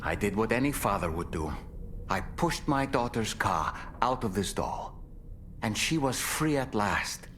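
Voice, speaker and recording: An actor playing a sorrowful father, a medium trapped inside a doll, telling his story slowly and solemnly in a video game cutscene. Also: lowish voice, animated, fairly bright tone, a little hiss or hum. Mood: wistful. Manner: slow, solemn, reflective storytelling.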